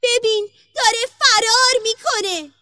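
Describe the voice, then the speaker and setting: Magic storybook tree, shrill high voice, chanting out an alarm over and over. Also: female voice, pushed and bright, dry recording, studio quality. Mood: urgent alarm. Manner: chanting, repetitive, crying out.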